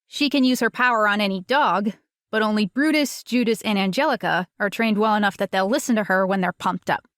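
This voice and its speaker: A woman's voice: mid-range voice, brisk, a bit bright, dry recording, clean studio sound, lightly acted. A woman playing a knowing, self-assured teenage girl villain in an audio drama, with a matter-of-fact, coaching manner.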